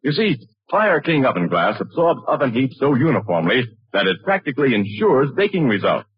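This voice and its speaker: A male announcer delivers a vintage radio advertisement. His pitch is animated and his voice slightly husky, on a dry, muffled old broadcast recording. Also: brisk, dry recording. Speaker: commercial announcer.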